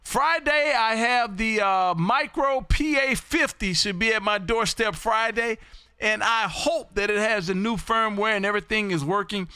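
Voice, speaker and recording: A man hosting a live stream from his home radio room, folksy, loud and repetitive as he calls out call signs on air. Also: high voice, clean tone, no room sound. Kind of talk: casual on-air radio chat.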